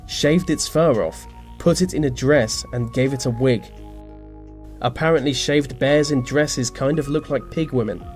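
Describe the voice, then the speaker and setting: A man reading scripted narration for a list video, slipping into dry, deadpan asides. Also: medium pitch. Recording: music behind the voice. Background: background music.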